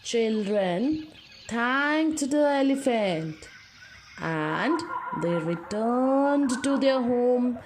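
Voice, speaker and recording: A woman teacher telling a moral story to young children, speaking deliberately and addressing her young listeners directly. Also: on the high side, expressive, background noise.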